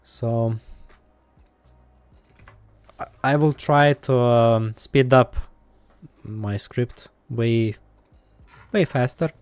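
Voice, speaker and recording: A man with an Eastern European accent, live-streaming while coding and thinking aloud, with many pauses and fillers. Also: mid-range voice, a little mellow, clear, resonant tone, no room sound.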